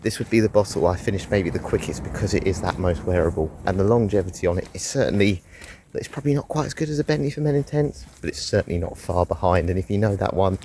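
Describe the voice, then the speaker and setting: A man's voice: deep voice, quick pace, warm tone, slight background noise. A man with a head cold, chatting casually through a review while out on a walk, rambling and now and then sighing. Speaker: fragrance reviewer. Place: outdoors on a walk.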